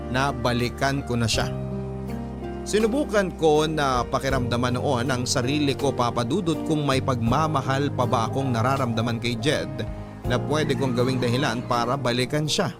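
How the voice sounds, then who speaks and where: A man with a Filipino accent reading a first-person story as a radio storyteller, in dramatic scripted narration. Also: mid-range voice. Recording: background music under the voice.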